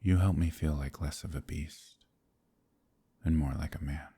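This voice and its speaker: A man playing a gentle, troubled werewolf in a romantic fantasy ASMR roleplay, soft-spoken and hesitant, with formal old-fashioned phrasing and a deep, husky voice. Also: steady tone, measured pace, full character voice. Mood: moved, grateful.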